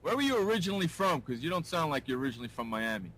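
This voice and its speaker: Bright-voiced New man hosting. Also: on the high side, big pitch swings, racing. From New York, United States. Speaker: radio show host. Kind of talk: casual interview banter. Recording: background noise and room ambience.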